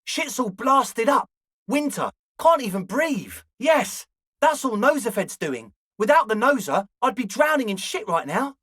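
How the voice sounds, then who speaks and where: A man's voice: very high voice, very animated, unhurried, pushed and bright, breathy edge, no echo, studio quality, heavily acted. A man voicing an eager, flaky young male slacker ringleader in a video game, talking in an earnest, ingratiating, hedging way. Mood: casual, slackerish. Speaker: voice actor. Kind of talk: video game character dialogue.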